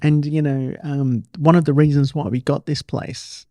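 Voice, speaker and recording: An Australian man hosting a podcast, chatting casually and telling stories in an animated way, repeating himself for emphasis. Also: fairly high pitch, normal speed, no room sound, clean studio sound. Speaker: podcast host. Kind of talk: casual interview chat, storytelling.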